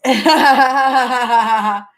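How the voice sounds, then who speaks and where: A woman's voice: fairly high pitch, steady tone, drawn-out words. A woman in her fifties chatting casually on a livestream from her apartment, rambling, repeating herself and ending sentences with "right?". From Canada. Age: 50s. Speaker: livestream host. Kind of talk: casual live chat to viewers.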